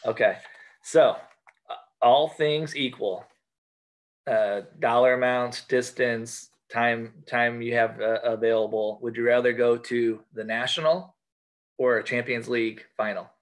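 An American man chatting casually as a podcast guest, talking haltingly and often repeating and rephrasing his phrases. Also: medium pitch, steady tone. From United States. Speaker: podcast guest, sports card collector. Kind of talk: casual interview chat.

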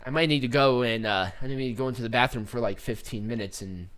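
A man's voice: mid-range voice, fast, fairly bright tone. A man co-hosting a podcast, talking in a casual, opinionated way with informal, emphatic phrasing and repetition. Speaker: podcast co-host.

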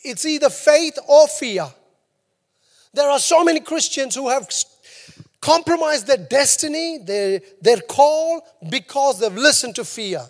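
A man's voice: very high voice, lively intonation, a little slow. A man preaching a sermon as pastor, emphatic and fond of repeating his key lines for effect. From New Zealand. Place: church hall. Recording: long hall reverb.

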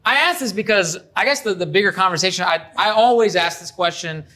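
A man hosting a casual interview, speaking haltingly and repeating words and phrases for emphasis. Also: high voice, lively intonation, bright, forceful tone. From Iran. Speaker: interview host.

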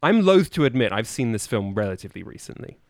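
A man's voice: mid-range voice, very animated, fast, darkish tone, no echo, clean studio sound. A man chatting casually and jokingly as a contestant on a podcast quiz. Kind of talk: casual comic chat.

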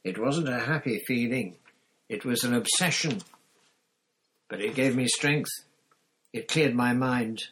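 A man's voice: medium pitch, measured pace, long pauses, a bit bright, a little hiss or hum, voice pushed up, natural voice, rough recording. A man voicing a gaunt, bitter escaped prisoner who speaks with harsh intensity in a storybook reading. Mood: intense.

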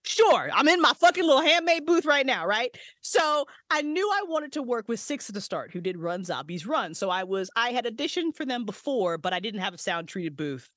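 A woman voice actress and writer, a podcast guest, talking excitedly and swearing freely about her projects. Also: on the high side, normal speed, few pauses, no echo, clean studio sound. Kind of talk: casual podcast interview. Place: small handmade vocal booth.

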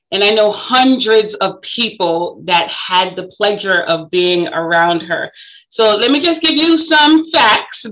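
A woman hosting a podcast interview, effusive and admiring in her introductions, quick to laugh. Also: a little high, bright, forceful tone, rough recording. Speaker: podcast host.

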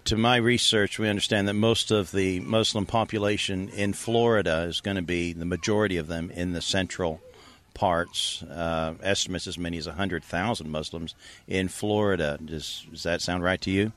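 A low-pitched man leads a street interview as an evangelist, choosing his words with care. His probing questions come at a steady pace, broken now and then by an "uh". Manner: measured, probing questions with occasional "uh". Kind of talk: street interview with scripted intro.

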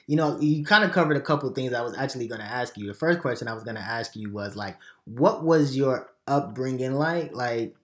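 Nigerian-born interviewer in an echoey room. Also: male voice, medium pitch, brisk, few pauses. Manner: relaxed, laughs along, brief backchannels. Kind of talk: casual interview conversation.

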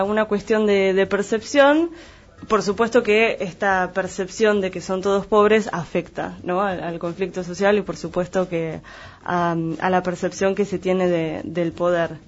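Didactic Argentine speaker, dull lo-fi sound. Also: medium pitch. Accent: Argentine Spanish. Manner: didactic, clarifying terms step by step. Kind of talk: explanatory conversational analysis.

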